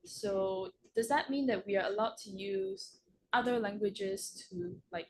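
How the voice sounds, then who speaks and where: A woman's voice: a little high, very animated, a little slow, gravelly, background noise. A nineteen-year-old woman, a native Korean speaker, politely asking a question from the audience with hesitant fillers. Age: late teens.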